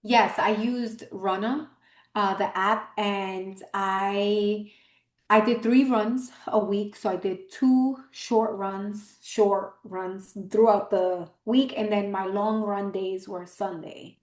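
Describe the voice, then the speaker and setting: A woman's voice: medium pitch, steady tone, takes it slowly. Upbeat woman guest in an echoey room. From Brooklyn, New York. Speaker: fitness coach, guest. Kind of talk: casual interview answers. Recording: roomy, echoey room sound.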